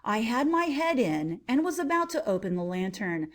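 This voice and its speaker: A woman's voice: on the high side, sing-song. A woman voicing a cunning mad murderer who insists on being sane, in dramatic horror audiobook narration with emphatic delivery. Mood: gloating, sinister. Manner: theatrical, emphatic, drawn-out repetitions.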